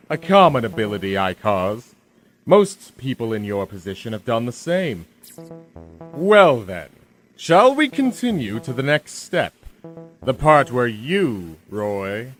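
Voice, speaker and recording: Man playing a theatrical, smug villain with swooping pitch. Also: very animated, a bit bright, soft background music. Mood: smugly menacing. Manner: menacing, theatrical, drawn-out delivery. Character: smug male villain, self-proclaimed ruler of the universe. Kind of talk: comic sci-fi radio drama.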